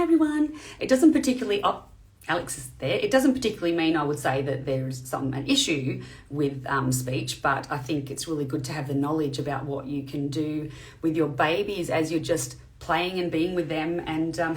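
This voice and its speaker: A woman in her thirties hosting a casual live video chat, chatty and quick, with lots of fillers. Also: low voice. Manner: chatty, talks fast with many fillers. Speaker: host.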